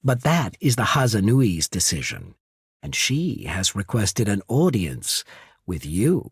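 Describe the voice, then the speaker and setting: Shrewd diplomat officer in a fantasy game, polite n persuasive, slow measured delivery, super dry close sound. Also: male voice, fairly low pitch, huge pitch swings, breathy edge, no room sound, exaggerated voice. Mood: formal, measured. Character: shrewd, courteous male naval emissary of an island empire. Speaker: video game character. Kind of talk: scripted fantasy game dialogue.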